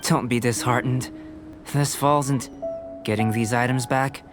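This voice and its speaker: An actor playing a calm, polite young researcher in a video game, speaking in a measured, analytical, reserved way. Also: male voice, mid-range voice, close to an everyday voice. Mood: reassuring. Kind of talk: English video game voice acting. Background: background music.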